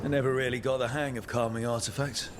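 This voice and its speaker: A man's voice: mid-range voice, flat delivery, fast talker, very noisy, lightly acted. An actor voicing an easygoing young male adventurer hero in a fantasy video game, with a casual, dryly humorous delivery. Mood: relaxed, wry. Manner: casual, dry humour.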